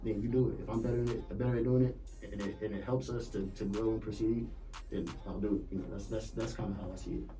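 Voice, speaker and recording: Podcast panelist, male, lowish voice, thoughtful casual talk, mic set back with faint music. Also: fast, distant mic, rough recording. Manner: relaxed, thoughtful, repeats words as he builds a point.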